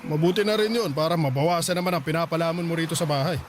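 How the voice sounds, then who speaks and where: Freeloading dad, played by a man, middle-aged, Filipino accent, higher register, oily and boastful radio drama delivery. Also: very fast, slight background noise, lightly acted. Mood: scornful. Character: freeloading, boastful older father, wheedling and self-serving.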